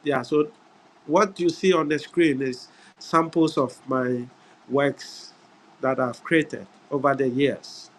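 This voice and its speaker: A middle-aged man from Ghana with a Ghanaian English accent, giving an unhurried webinar talk over a video call, often pausing on "uh" and "yeah". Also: mid-range voice, fairly even intonation, slight background noise, rough recording. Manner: unhurried, repeats words, frequent "uh" and "yeah". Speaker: guest speaker. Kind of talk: webinar presentation.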